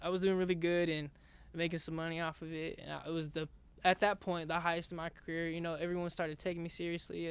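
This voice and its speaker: Soft-spoken young man, high clear voice. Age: young adult. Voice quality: raspy singing voice. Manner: soft-spoken, halting, many restarts. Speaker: rap artist guest. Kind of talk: casual interview chat.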